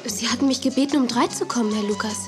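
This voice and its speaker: A woman's voice: on the high side, fast talker, over music, close to an everyday voice. A woman playing a kind, encouraging young television contestant in a children's audio drama. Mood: polite.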